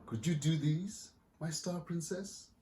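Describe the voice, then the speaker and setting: A man's voice: fairly high pitch, slow, far from the mic, background noise, voice pushed up. A man voicing a playful, loving father who teases with questions in a storybook reading. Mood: playful, tender. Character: playful, loving father testing his daughter.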